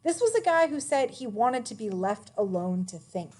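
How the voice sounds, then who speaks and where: A woman reading a children's biography aloud as a narrator, with expressive, emphatic phrasing. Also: fairly high pitch, normal speed.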